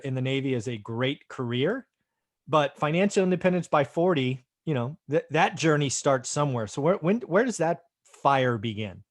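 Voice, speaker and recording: A man hosting an interview show, upbeat and enthusiastic with his guest. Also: fairly high pitch. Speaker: host.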